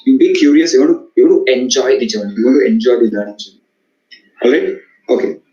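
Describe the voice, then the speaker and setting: A man's voice: mid-range voice, lively intonation, fast talker, dark tone. A man from South India with a South Indian English accent, Tamil his first language, giving an earnest motivational talk on communication, with frequent fillers and restarts. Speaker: guest speaker. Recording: echoey room, dull sound.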